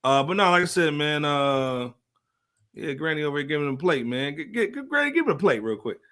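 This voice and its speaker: A man with an American accent hosts a casual podcast at a quick pace. His voice is bright and forceful, slightly high, with playful, slangy phrasing. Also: big pitch swings. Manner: slangy, playful, prompting the others. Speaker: podcast host. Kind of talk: casual hip-hop podcast chat.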